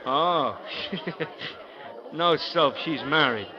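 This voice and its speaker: A man's voice: fairly high pitch, very slow, a crowd in the background. An actor playing a blunt, offhand supermarket vegetable man in a 1950s radio drama. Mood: amused, blunt. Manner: terse, offhand, slangy. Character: blunt, casual male supermarket vegetable worker. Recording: muffled 1950s radio recording.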